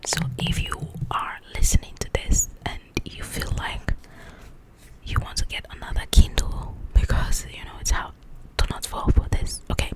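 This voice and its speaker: A woman speaking in a breathy, unvoiced whisper as an ASMR host, chatting informally and directly to her viewers in a rambling, casual way. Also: moderate pace, poor audio.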